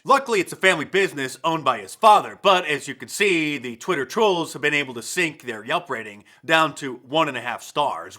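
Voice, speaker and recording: A man's voice: a little high, sharp tone. A man hosting political commentary in a sarcastic, mocking and emphatic way. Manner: mocking, emphatic, chuckles through points.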